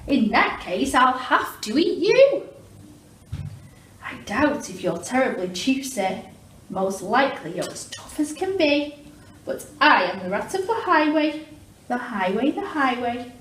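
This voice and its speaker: Woman playing a bellowing, greedy highwayman rat, roomy recording. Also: very animated, unhurried, a bit bright, heavily acted. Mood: threatening. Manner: bellowing, commanding, declamatory. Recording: roomy, distant mic with clear background noise.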